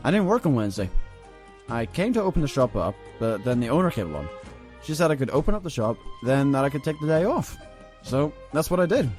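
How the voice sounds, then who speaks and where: A man's voice: medium pitch, very animated, a little fast, darkish tone, soft background music, natural voice. A man playing an easygoing ice cream clerk pony in an audio drama, casual and a bit folksy. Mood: laid-back. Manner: casual, laid-back, folksy. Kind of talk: fan audio drama acting.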